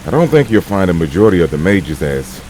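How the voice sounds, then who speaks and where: A man's voice: low voice, big pitch swings, quick pace, a little mellow, a little hiss or hum. An actor playing a tired but helpful male veteran in an audio drama.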